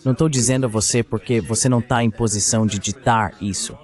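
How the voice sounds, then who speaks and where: A man's voice: mid-range voice, fairly even intonation, quick pace. A man giving a translated voice-over of a heated political exchange.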